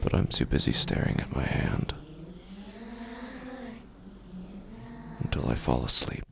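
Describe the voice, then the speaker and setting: A man playing a grieving, sleepless man in a horror audio drama, brooding and halting, in a gravelly, breathy near-whisper. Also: rumbling low, fairly even intonation, a crowd in the background, exaggerated voice. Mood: hushed, haunted. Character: grieving, hollow, sleepless man wandering at night. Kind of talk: scripted first-person horror audio fiction narration.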